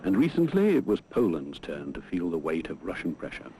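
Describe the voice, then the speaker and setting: Deep-voiced British male film narrator, noisy soundtrack. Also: wildly swooping pitch, a little fast. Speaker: documentary narrator.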